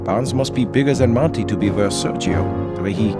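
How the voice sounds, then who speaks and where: An actor playing a level-headed officer in a video game cutscene, dry and matter-of-fact. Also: male voice, on the low side, a little fast, close to an everyday voice. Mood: calm, teasing. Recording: loud music under the voice.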